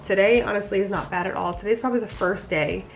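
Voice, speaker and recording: A woman's voice: medium pitch, quick pace, vocal fry, soft background music. A young woman vlogging a casual pregnancy update, sounding nasal from a cold, chatty and rambling. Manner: chatty, rambling, frequent fillers.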